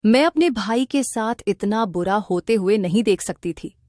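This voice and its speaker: A woman's voice: mid-range voice, animated, normal speed, dark tone, no room sound, studio quality, close to an everyday voice. A woman voicing an emotional, concerned wife with a guilty, pleading manner in a cartoon. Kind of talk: Hindi cartoon voice acting.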